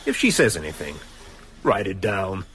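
A man's voice: low voice, huge pitch swings, moderate pace, heavy background noise, exaggerated voice. An actor playing a wry, kindly mortician who teases his friends in a radio drama. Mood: curious, obliging.

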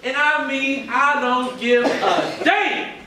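A menacing, swaggering drug kingpin in a stage play, acted by a man, projecting emphatically with big swings in a high voice. He is picked up from far off over clear noise. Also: animated, slow, bright, forceful tone, heavily acted. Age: middle-aged. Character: menacing swaggering drug boss and father, testing his crew. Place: indoor theater stage. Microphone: far camera. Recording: echoey theater stage, distant mic, noisy.

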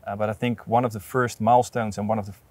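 A man in his thirties speaking in an outdoor interview, earnest and measured, with frequent restarts, on a lapel mic. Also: fairly low pitch, level tone, a little mellow, dry recording. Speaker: port authority representative. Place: outdoors at an industrial port site.

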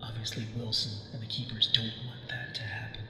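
A weary, whispering prisoner. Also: male voice, lowish voice, monotone, vocal fry. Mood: cryptic. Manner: hushed, half-whispered, tired and measured. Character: weary long-imprisoned man, calm and cryptic. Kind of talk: video game cutscene acting. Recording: cavernous echo with long reverb and ambient noise.

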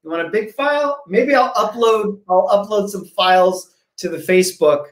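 A man's voice: sits high, moderate pace. Man chatting casually with emphatic repetition. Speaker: guest author. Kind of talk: casual interview chat. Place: small room at home, indoors. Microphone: video call, computer microphone.

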